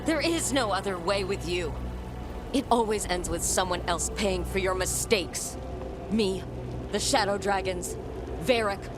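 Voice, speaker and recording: An actress playing a tough, bitter heroine with a blunt, firm delivery in a video game cutscene. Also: female voice, mid-range voice, measured pace, close to an everyday voice. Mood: bitter, angry. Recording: music behind the voice. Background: cinematic music.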